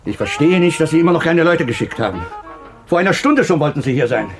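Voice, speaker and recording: A man's voice: fairly high pitch, expressive, fast, faint music behind. An actor playing an anxious, irritable, stern older father in a 1960s radio drama. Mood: agitated, impatient. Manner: agitated, commanding, nagging.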